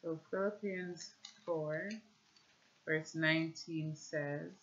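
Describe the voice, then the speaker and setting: A woman in her thirties with a Jamaican accent gives an earnest, measured religious testimony at home, talking to camera. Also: low voice, fairly even intonation, lo-fi sound. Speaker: speaker giving a personal religious testimony. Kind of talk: personal testimony with scripture reading, talking to camera. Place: small indoor room at home with shelves.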